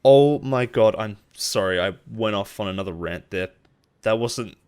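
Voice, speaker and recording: A man playing a possessive chief executive in a roleplay audio drama, with an intimate, teasing manner that turns nervy and quietly menacing. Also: lowish voice, moderate pace, smooth, close to an everyday voice. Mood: agitated, venting. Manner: intimate, teasing, rambling when agitated. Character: possessive male CEO boss, playful, nervy, quietly menacing.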